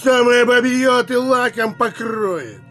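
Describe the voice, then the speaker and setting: Bullish provincial landowner, high-voiced, emphatic and boastful, classic radio play, music behind. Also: male voice, a bit bright. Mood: proud boasting. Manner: emphatic, blustering, drawn-out boasting. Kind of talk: theatrical audio drama acting in Russian classic.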